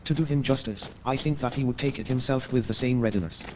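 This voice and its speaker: An actor playing a courteous, gossipy Victorian gentleman in a staged radio play, formal and measured in his hesitant explanations. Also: medium pitch, background noise, lightly acted. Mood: uneasy, explanatory.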